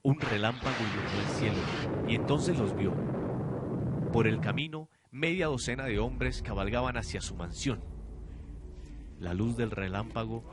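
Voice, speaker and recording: A man's voice: medium pitch, normal speed. A young man reading dramatic scripted narration for a radio drama, in a noisy amateur recording. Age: young adult. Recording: noisy amateur student production.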